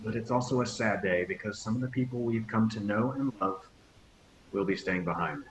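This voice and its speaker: A man's voice: lowish voice, moderate pace, a little hiss or hum, natural voice, poor audio. A man playing a stoic starship captain. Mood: commanding. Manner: formal, speech-like address. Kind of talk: table read acting.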